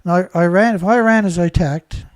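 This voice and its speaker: A stuffed-up man runs his own news commentary, talking in an indignant, rambling way and firing off rhetorical questions. His voice sits fairly high for a man. The sound is close and dry, with no room around it. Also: normal speed, clear, resonant tone, dry recording. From Canada. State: stuffed-up nose. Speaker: host.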